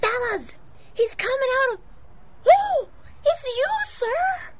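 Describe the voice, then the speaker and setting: A woman playing an eager, excitable young street-kid boy with slangy speech in a radio adventure drama. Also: extremely high pitch, takes it slowly, slight background noise, full character voice. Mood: startled deference. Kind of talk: 1930s-style radio adventure acting.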